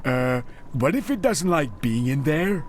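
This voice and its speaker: A man's voice: mid-range voice, very animated, measured pace, slight background noise. A man voicing a naive, good-natured little Smurf in a cartoon video game, hesitant and guileless. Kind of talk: animated video game voice acting.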